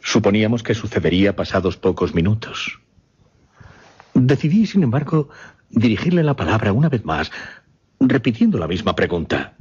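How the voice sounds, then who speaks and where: Grave mesmerist, deep and very husky. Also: male voice, big pitch swings, a little mellow, full character voice. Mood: grave, measured. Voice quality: husky, breathy. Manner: suspenseful, halting, with dramatic repetitions. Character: grave mesmerist man recounting a horrifying case. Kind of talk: dramatic radio horror storytelling. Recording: dull radio drama recording.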